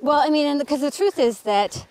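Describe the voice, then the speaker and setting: A woman's voice: high voice, brisk, a little mellow. A middle-aged woman hosting a casual walking talk outdoors, explaining history with frequent ums. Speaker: author and channel host. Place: outdoors on a cold hillside.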